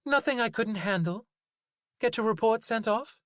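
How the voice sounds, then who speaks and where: A man voicing a sharp-tongued, wry woman in a storybook reading. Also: high voice, normal speed, dry recording, clean studio sound, voice pushed up. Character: sharp, sly woman shopper.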